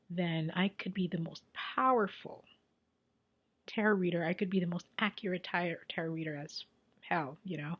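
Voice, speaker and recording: A Filipino woman, her first language Tagalog, talks casually about her work as a tarot reader. She keeps a fairly low voice, repeats her phrases for weight and often sinks to a near whisper. The recording is a little dull and lo-fi. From Philippines. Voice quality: chatty, repeats herself for emphasis, often drops to a near whisper. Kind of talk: casual advice monologue.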